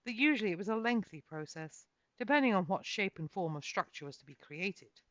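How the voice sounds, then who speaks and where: A woman's voice: medium pitch, animated, brisk, fairly bright tone. A woman author reading her own fantasy novel as a dramatic first-person storyteller. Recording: muffled, echoey home recording.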